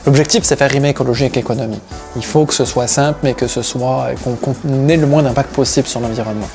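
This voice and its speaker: A man from the Paris region, telling about his own work and life in a relaxed interview account. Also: medium pitch, quick pace, over music. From Paris region, France, with Breton roots. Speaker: seaweed harvesting diver and business owner, interviewee.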